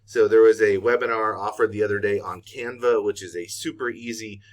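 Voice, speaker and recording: A middle-aged man, walking through a software tutorial in a casual, unscripted way as a trainer. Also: on the low side, fairly even intonation, brisk. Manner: casual, unscripted, thinking aloud while clicking. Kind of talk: informal screen-share tutorial.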